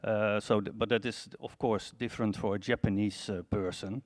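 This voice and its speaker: A man's voice: lowish voice, steady tone, normal speed. A man speaking as a panelist, plain-spoken and somewhat hesitant in his phrasing. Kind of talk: live panel discussion.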